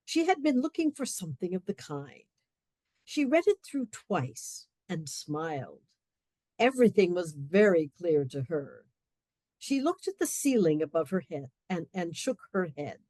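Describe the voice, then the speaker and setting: A woman's voice: fairly low pitch, big pitch swings, a little slow, dark tone, no echo. A woman reading scripted story narration as the narrator of a radio play.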